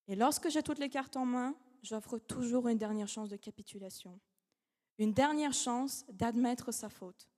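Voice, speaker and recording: A young woman delivers a formal eloquence speech in a large academic hall, her fairly high voice carrying with a long reverb. She speaks in measured, dramatic phrases with rhetorical pauses, in French that is not her first language. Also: mellow. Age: young adult. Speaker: law student giving a competition speech.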